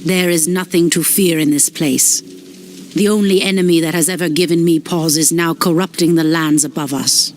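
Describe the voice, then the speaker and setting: Casting: actrice als strijdbare priesteres, trots en minachtend. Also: female voice, fairly low pitch, fairly even intonation, moderate pace, slight background noise, natural voice. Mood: proud. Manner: proud, scornful. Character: proud female elf priestess, fierce and scornful. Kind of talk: game cutscene acting. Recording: voice over loud music.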